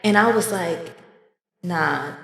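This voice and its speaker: A woman's voice: lowish voice, slow pace, creaky, fairly bright tone. A woman in her twenties from California, co-hosting a podcast, talking frankly and casually with slang and frequent laughs. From Oakland, California. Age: 20s. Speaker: podcast co-host. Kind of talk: casual chat. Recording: echoey room with long reverb.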